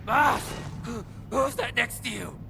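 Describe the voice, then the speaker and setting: An actor playing an excitable, brash bandit underling in a video game, loud and exclamatory. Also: male voice, very high voice, slow, gravelly, noisy background, exaggerated voice. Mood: rowdy. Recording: game music behind.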